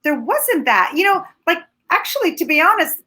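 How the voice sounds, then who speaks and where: A woman from Canada whose first language is Ukrainian, giving candid, animated opinions as a film critic. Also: very high voice, normal speed, slight background noise. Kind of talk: casual film review chat.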